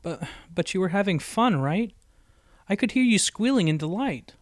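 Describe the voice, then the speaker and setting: A man's voice: high voice, expressive, normal speed, no room sound, clean studio sound, pitched above the performer's own voice. A man voicing a brash but easily sheepish young female pegasus pony in an audiobook, with a stammering, eager manner. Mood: defensive. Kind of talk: acted audiobook dialogue.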